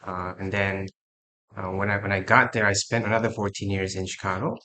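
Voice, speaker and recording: Man in his forties from Korea, a job candidate on a video call; low, sharp, earnest delivery. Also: little pitch movement, a little fast. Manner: careful, earnest self-introduction. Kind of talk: job interview over a call.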